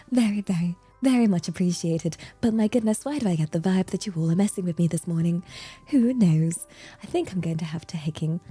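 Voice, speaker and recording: A woman with an exaggerated posh British accent, chatting casually to livestream viewers in a playfully prim, flustered manner with a breathy voice. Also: medium pitch, warm tone. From Britain. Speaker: livestream host.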